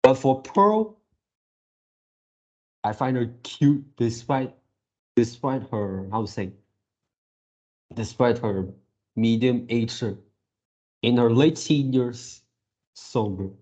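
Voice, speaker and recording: A man giving casual fan commentary as a video creator, halting as he repeats words and searches for phrasing. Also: mid-range voice, animated, mellow, dull audio. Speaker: video creator reacting to a game series.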